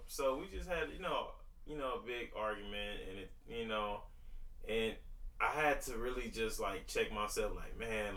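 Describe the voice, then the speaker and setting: A man's voice: mid-range voice, sharp tone, distant mic. Young man rambling with fillers, echoey room. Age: 20s. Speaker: podcast co-host. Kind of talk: casual faith-based couple talk.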